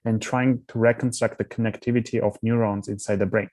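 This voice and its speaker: Young man from Armenia, low dry voice, thoughtful and self-correcting; guest founder on an interview call. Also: flat delivery, fairly quick, a little mellow, no room sound. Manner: thoughtful, restarts words often. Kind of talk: casual interview answers.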